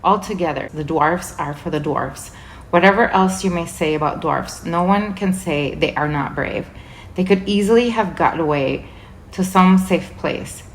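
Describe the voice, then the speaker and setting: Woman reading a kids' book aloud, low voice, bit of hiss behind. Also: a little slow, poor audio. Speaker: volunteer reader. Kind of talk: storybook read-aloud narration.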